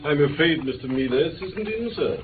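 Male actor as household servant, higher-pitched, lilting, deferential, helpful, old radio drama. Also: animated, normal speed, narrow, tinny audio, lightly acted. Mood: polite, apologetic. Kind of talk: mid-century British radio drama acting. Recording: thin, noisy old radio recording.

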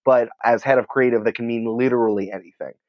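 A man's voice: medium pitch, steady tone, fast, no room sound. Guy guesting on a podcast, chatty n casual, really dry slightly muffled sound. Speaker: podcast producer, interview guest. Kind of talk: casual interview chat.

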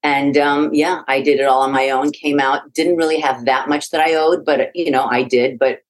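A woman's voice: sits low, fairly even intonation, normal speed. A middle-aged woman from Iraq, a podcast guest telling her life story in a frank, reflective way with frequent restarts. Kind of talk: conversational interview.

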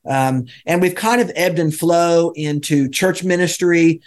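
An American man giving conversational interview answers as a guest, speaking in a halting way with many pauses. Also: fairly high pitch. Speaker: interview guest, volunteer church ministry leader.

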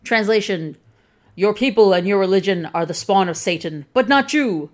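A woman with a plain, flat Chicago accent delivers scripted commentary. Her tone is wry and pointed, and she lets the dry humor sit inside an even, mid-pitched voice. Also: normal speed. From Chicago, USA. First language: English. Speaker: video essayist.

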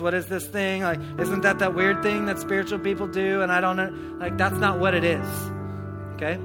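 Male preacher, high-pitched and energetic, live sermon over instrumental music. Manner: energetic, conversational, swells to shouting. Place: church hall before a congregation.

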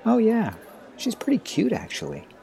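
A man's voice: high-pitched, wildly swooping pitch, normal speed, creaky, dark tone, exaggerated voice. A man playing a wry, awkward ninth-grade boy with a grown-up mind, hesitant and thoughtful, in an audio drama. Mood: helpful, wry.

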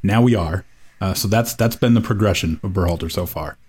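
A man's voice: sits low, a little fast, darkish tone, breathy edge. A man co-hosting a casual soccer podcast, giving a wry, rambling analysis full of fillers. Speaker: podcast co-host.

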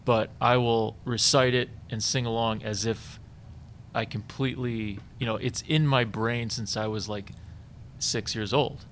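A man's voice: medium pitch, steady tone, unhurried, fairly bright tone, a little hiss or hum. A man hosting a conversation, asking searching questions with frequent restarts. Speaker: interviewer host. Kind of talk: casual chat.

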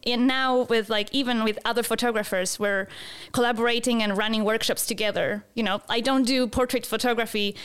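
Woman, 30s, chatty interview guest, crisp clear voice, lots of fillers and laughs. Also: a little high, fairly bright tone. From Chile. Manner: chatty, many fillers and restarts, laughs often. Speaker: photographer and guest. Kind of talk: casual interview.

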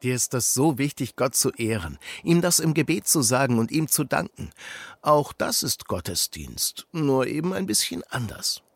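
A kind, practical, devout friend in a children's radio play. His voice is husky and creaky, with animated intonation and a gentle, reassuring way of talking. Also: medium pitch, moderate pace, no echo. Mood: gentle, caring. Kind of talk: children's audio drama acting.

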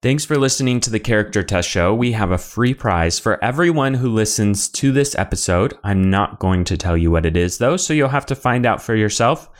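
A man's voice: on the low side, expressive, brisk, few pauses. A man hosting a podcast, presenting from a script in an upbeat way and then chatting with his co-host.

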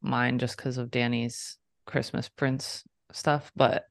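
Podcast co-host, man, mid-pitched, gravelly, loose and chatty. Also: level tone, slow, no echo, clean studio sound. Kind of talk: casual chat.

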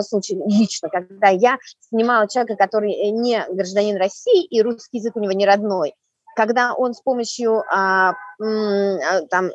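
Podcast guest, female: rapid, emphatic, opinionated explainer, husky tone, muffled lo-fi sound. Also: medium pitch, no room sound. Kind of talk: spontaneous podcast discussion.

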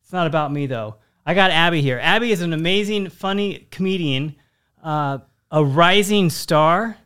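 A man's voice: fairly high pitch, normal speed, fairly bright tone, clear, resonant tone. A man hosting a casual podcast interview, rambling and making self-mocking jokes. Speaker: podcast host.

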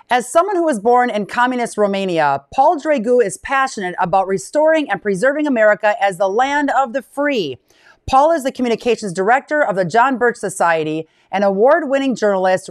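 A woman's voice: fairly high pitch, no room sound, clean studio sound. A woman hosting an interview podcast, chatty and upbeat as she introduces and questions her guest. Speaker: podcast host.

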